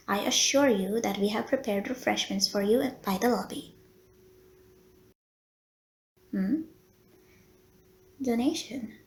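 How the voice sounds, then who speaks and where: Unhurried, courteous lady vampire nurse, acted by a woman. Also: on the high side, a little mellow. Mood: polite, attentive. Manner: genteel, formal wording with coy hesitations. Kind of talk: roleplay audio acting, addressed to the listener.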